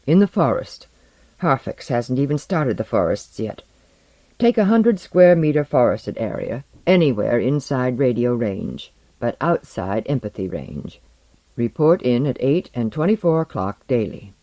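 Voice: mid-range voice, big pitch swings, unhurried, warm tone, no echo, lo-fi sound. A narrator voicing a stern, self-possessed woman with a firm, clipped, challenging manner. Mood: coldly clinical.

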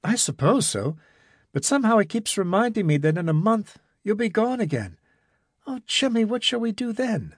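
A man's voice: high-pitched, very animated, normal speed, husky, no room sound, clean studio sound, voice pushed up, full character voice. A man doing an anxious wistful young woman.

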